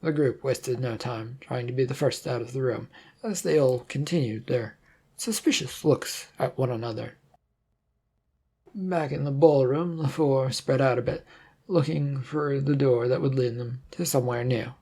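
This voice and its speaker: A narrator reads scripted fiction in a husky voice with plenty of creak. The delivery is that of someone telling a detective story set in space, shifting between description and dialogue. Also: medium pitch, normal speed, mellow. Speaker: story reader.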